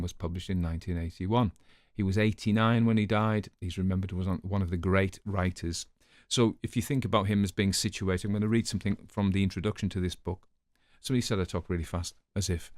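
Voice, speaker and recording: Male host, lowish voice, informal book commentary, chatty, offhand asides, very dry sound. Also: fairly even intonation, quick pace, darkish tone, no room sound. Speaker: host and story reader.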